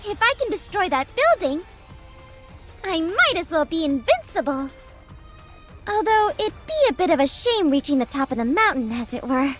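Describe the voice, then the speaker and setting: A woman's voice: extremely high pitch, big pitch swings, moderate pace. An actress playing a naive, boastful, childlike girl soldier in a video game, with a gushing, theatrical delivery. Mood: eager boasting. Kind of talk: English video game dub acting. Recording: music behind the voice.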